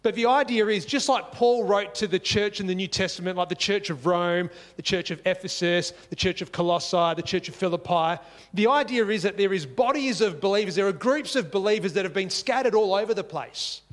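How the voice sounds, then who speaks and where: A man's voice: high voice, brisk, big-room sound. A man preaching a sermon in earnest, repeating key phrases for emphasis. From Singapore. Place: church.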